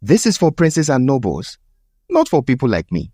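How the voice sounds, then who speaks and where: A man's voice: medium pitch, wildly swooping pitch, moderate pace, mellow, breathy edge, no echo, clean studio sound. A man voicing a humble, reluctant young villager in a storybook tale, puzzled yet firm. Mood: firm, dismissive. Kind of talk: storybook character voice.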